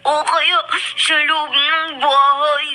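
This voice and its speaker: A woman's voice: high-pitched, flat delivery, slow pace, sharp tone, faint music behind, full character voice. A woman playing a lonely, tearful young child who pleads politely and plaintively in a Filipino radio drama. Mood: pleading, frustrated.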